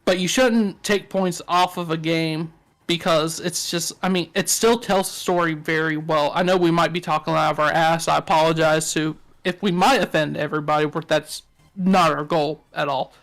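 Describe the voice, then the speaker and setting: A man's voice: a little high, fairly even intonation, normal speed, fairly bright tone. A man with a Southern US accent, co-hosting a podcast in frank, joking casual talk. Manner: joking, frank, swears often. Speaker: podcast co-host. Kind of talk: casual chat.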